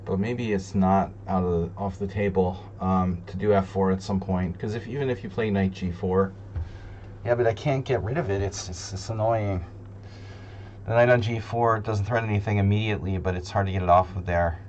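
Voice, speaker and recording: A man streaming chess, thinking aloud in casual, deliberating commentary, muttering to himself between pauses. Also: deep voice, steady tone. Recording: dull lo-fi sound, loud hum.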